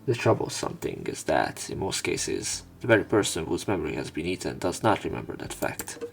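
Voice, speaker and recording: A man reading visual novel text aloud as a let's play narrator, in measured short phrases. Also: low-pitched, very animated, gravelly. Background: game music.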